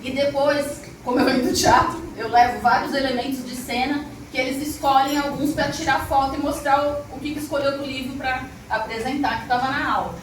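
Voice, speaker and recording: A woman's voice: high-pitched, steady tone, fairly quick, hardly any pauses. A middle-aged woman, a storyteller and arts teacher, telling stories expressively and chatting directly with a live audience. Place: echoey room with a live audience. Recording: echoey room, distant mic, noisy ambience.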